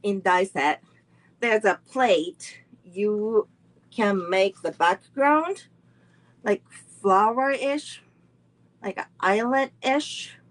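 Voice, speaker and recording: A woman's voice: medium pitch, extremely slow, long pauses, rough recording. A middle-aged woman teaching a live online card making class, walking through each step unhurriedly and greeting viewers between steps.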